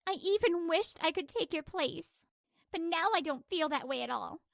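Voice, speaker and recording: A woman's voice: very high voice, expressive, moderate pace, gravelly, no room sound, studio quality, voice pushed up, exaggerated voice. A woman voicing a fed-up working wife, indignant, cutting and resolute, in a manga story dub. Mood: cold, firm.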